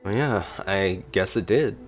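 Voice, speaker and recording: A gameplay streamer with a low voice, chatting and reacting casually. They read along with the game aloud, with faint music behind. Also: takes it slowly.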